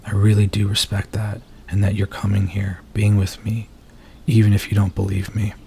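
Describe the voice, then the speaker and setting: Low, gravelly, nervous werewolf played by a man. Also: completely flat delivery, a little hiss or hum. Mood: gentle, reassuring. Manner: soft-spoken, hesitant, halting, earnest. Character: nervous, earnest male werewolf confessing to his date. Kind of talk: ASMR roleplay, intimate dramatic monologue.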